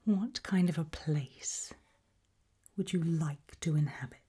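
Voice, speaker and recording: A British woman doing an ASMR roleplay in a breathy whisper, putting soft questions to the listener with deliberate pauses. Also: low voice. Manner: slow, deliberate, with long pauses.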